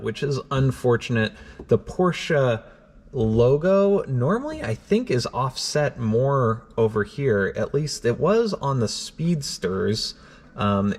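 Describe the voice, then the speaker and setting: A man narrating a hands-on vlog in an informal way, thinking aloud as he examines things. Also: mid-range voice. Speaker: car owner and vlogger.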